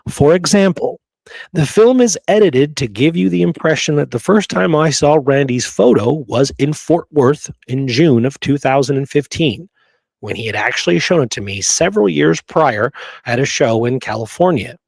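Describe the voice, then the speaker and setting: A man's voice: a little high, animated, normal speed, gravelly, dry recording. A man co-hosting a discussion show, reading out quotes and often checking in with "okay?" and "right?". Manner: frequent "you know" and checking "okay?", "right?". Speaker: show co-host.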